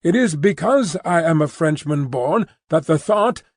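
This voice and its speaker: A man voicing an earnest, restless young gentleman in formal, thoughtful, hesitant audiobook dialogue. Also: a little high, expressive, a little slow, no echo, clean studio sound.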